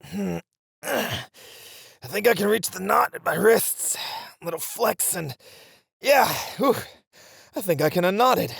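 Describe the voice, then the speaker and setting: Playful, unflappable male ninja voiced by a man for ASMR audio drama; animated swings in pitch, gravelly fry, close dry sound. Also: takes it slowly, pauses often, dry recording, full character voice. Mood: determined. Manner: teasing, reassuring, animated.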